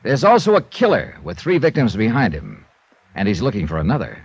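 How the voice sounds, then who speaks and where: Golden-age radio lead: tough, wry American investigator, animated delivery, confident and clipped, muffled vintage sound. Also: male voice, fairly low pitch, brisk, slightly rough, full character voice. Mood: inviting, dramatic. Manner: punchy, hard-boiled, sardonic. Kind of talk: 1950s radio acting and first-person narration. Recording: dull old radio recording with music.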